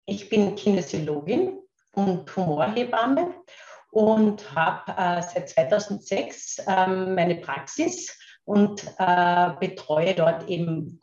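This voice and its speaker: A middle-aged woman from Carinthia, Austria, with a Carinthian accent, speaking slowly and haltingly as an interview guest over a video call. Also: mid-range voice, vocal fry, a little mellow. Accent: Carinthian Austrian German. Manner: slow, halting, searching for words. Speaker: guest, kinesiologist. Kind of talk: casual interview talk. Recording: roomy, dull remote call audio.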